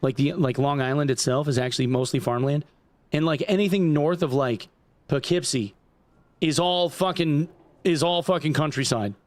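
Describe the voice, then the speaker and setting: A man's voice: a little high, normal speed, dry recording. Loose, swearing forty-something streamer guy. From upstate New York. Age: 40s. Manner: loose, profane, rambling, heavy on "like" and "dude". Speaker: gaming streamer. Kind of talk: casual livestream chat while gaming.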